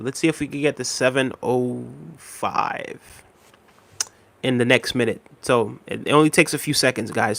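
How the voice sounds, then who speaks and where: A man in his thirties hosting a casual livestream, reading viewer comments aloud in a relaxed, repetitive way with many fillers, a little unwell and tired. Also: mid-range voice, no room sound. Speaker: livestream host.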